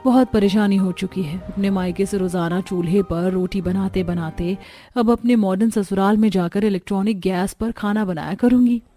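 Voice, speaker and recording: A woman's voice: medium pitch, moderate pace, warm tone, no room sound, soft background music, close to an everyday voice. A woman playing a young daughter-in-law in a family cartoon. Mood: hopeful.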